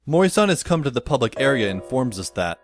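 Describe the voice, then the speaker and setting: A man's voice: medium pitch, very animated, fast, over music. A man playing a wry, put-upon teenage boy, narrating in the first person for an audio drama. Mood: matter-of-fact.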